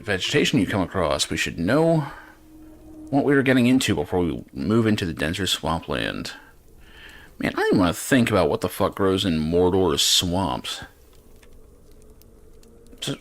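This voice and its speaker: A man doing casual gameplay commentary and reading quest text aloud, with dry, muttered, sweary asides. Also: sits low. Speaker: game streamer.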